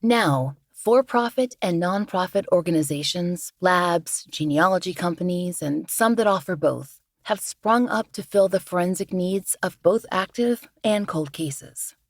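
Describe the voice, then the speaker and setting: A woman hosting a true-crime podcast in measured, earnest scripted narration, her voice a little scratchy from the flu. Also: lowish voice, dry recording, studio quality. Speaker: podcast host.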